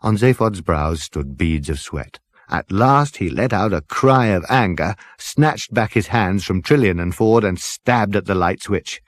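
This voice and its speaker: Animated man narrating, lowish voice. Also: unhurried, dry recording. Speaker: audiobook narrator. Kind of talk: dramatic scripted comic narration.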